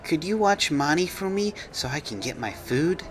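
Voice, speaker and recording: A man's voice: fairly high pitch, animated, normal speed, a little hiss or hum, pitched above the performer's own voice, lightly acted. A man voicing a timid, earnest little boy in a storybook reading, with a hesitant, childlike manner. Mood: earnest, polite.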